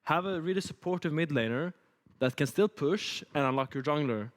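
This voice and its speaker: A man's voice: fairly high pitch, animated, moderate pace, long reverb tail. A man working as an esports analyst, talking loosely and rambling, with lots of filler words. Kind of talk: analysis desk chat.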